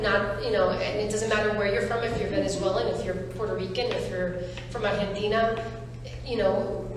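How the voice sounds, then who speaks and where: A woman casting director speaking earnestly on a panel, pausing with fillers and restarting her thoughts. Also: medium pitch, background noise. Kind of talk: panel discussion. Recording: echoey hall, far from mic.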